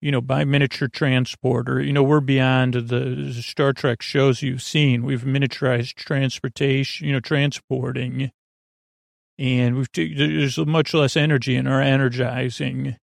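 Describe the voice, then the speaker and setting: Guy with a creaky voice doing a halting, wandering bedtime podcast monologue, really dry recording. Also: mid-range voice, flat delivery, no room sound. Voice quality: creaky, gravelly. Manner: meandering, halting, repeats and corrects himself. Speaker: podcast host. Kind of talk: rambling, whimsical bedtime monologue.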